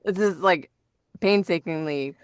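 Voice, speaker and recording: Low-pitched woman hosting, close dry sound. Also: a little slow, smooth, dry recording. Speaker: podcast host and voice actor. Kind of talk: casual podcast chat.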